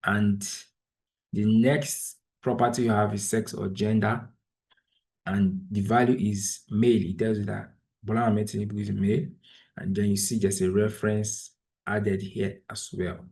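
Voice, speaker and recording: A man's voice: lowish voice, flat delivery, a little slow, gravelly, darkish tone, slightly rough, rough recording. A man whose first language is Igbo, from Nigeria, patiently walking through an online tutorial step by step over a video call. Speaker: trainer. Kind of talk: screen-share tutorial.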